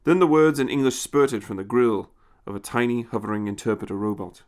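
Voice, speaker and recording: A man's voice: mid-range voice, brisk. A man reading a scripted science fiction story as its narrator.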